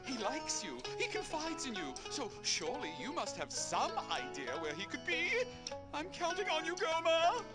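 Shrill, fussy royal chancellor. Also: female voice, huge pitch swings, normal speed. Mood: urgent. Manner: pompous, exasperated, scolding. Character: fussy, shrill, bossy royal chancellor of fairy-tale castle. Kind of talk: English video game voice acting. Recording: game music loudly behind the voice.

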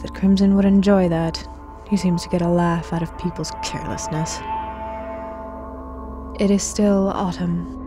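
A woman playing a composed, coolly polite figure in an audio drama, speaking in a measured way. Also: on the low side, lightly acted.

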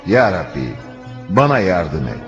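A man's voice: low-pitched, sing-song, measured pace, fairly bright tone. A man narrating a religious cartoon in a solemn, declamatory storytelling style. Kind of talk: scripted religious cartoon narration, voicing quoted speech. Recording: dull lo-fi audio, faint music behind.

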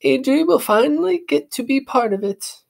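A storybook reader voicing a gushing middle-aged aunt in a much higher, sing-song voice. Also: animated, normal speed, gravelly, darkish tone, exaggerated voice. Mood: delighted. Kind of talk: storybook read-aloud.

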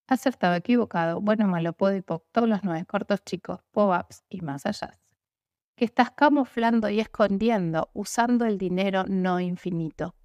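A young woman, as a facilitator, reciting repetitive clearing statements in a steady, rhythmic way. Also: medium pitch, dry recording, clean studio sound.

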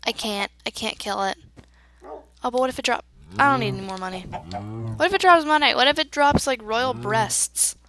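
A woman's voice: a little high, moderate pace, bright, forceful tone. A woman with a slightly congested voice giving chatty, playful commentary as she hosts a gaming video. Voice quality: slightly congested, stuffy nose. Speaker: gaming channel host. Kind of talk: casual let's play commentary.

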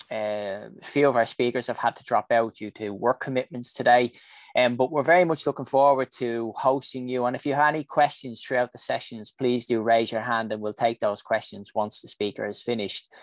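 Brisk man hosting an online panel. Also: medium pitch, no echo. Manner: brisk, welcoming, with fillers. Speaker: session host. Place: home room indoors. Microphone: video call with earphones.

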